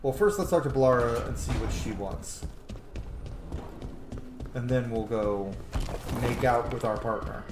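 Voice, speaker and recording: A man's voice: medium pitch, unhurried, pauses often. A man giving chatty, casual video game commentary, thinking aloud and swearing now and then. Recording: roomy echo, light background noise.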